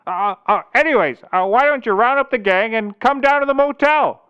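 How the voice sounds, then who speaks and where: A man's voice: extremely high pitch, moderate pace, clear, resonant tone, lo-fi sound. A man playing a deluded, addled slacker detective in a comedy audio drama, rambling and stammering erratically. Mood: flustered, evasive.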